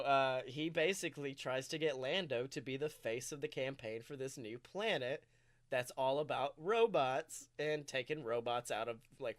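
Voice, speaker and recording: A man co-hosting a podcast, improvising a comic story in an informal, self-amused, sweary way. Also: on the high side. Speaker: podcast co-host.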